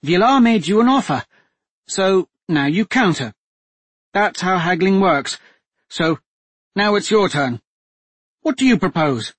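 A man's voice: high voice, animated, unhurried, pauses often, husky, no room sound, voice pushed up, exaggerated voice. A man voicing a portly middle-aged duchess who is a pushy, insistent haggler. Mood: bossy.

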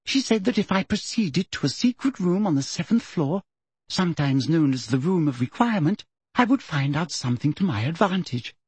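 A man narrating a storybook puts on a middle-aged female official with a high, silky, condescending voice. The sweetness is false and sinister, with a lilting range and slightly breathy tone. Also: sing-song, moderate pace, a little mellow, no room sound, exaggerated voice. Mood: simpering.

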